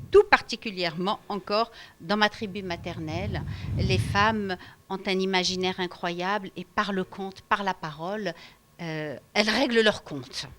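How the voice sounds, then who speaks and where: A woman from Algeria, a storyteller being interviewed for radio at a festival, talking with many hesitations and fillers. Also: medium pitch. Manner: hesitant, many fillers, warm laughter when animated. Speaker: storyteller guest.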